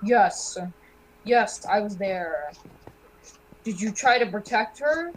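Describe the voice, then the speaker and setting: A woman acting student characters in an amateur game roleplay, halting and repeating phrases. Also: mid-range voice, animated, slight background noise, rough recording.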